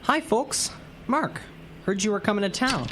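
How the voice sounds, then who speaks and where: Man acting a high-voiced, teasing old pal. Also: lively intonation, a little slow, background noise. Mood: jovial, teasing. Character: jovial, teasing younger man, old classmate. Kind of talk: audio drama acting.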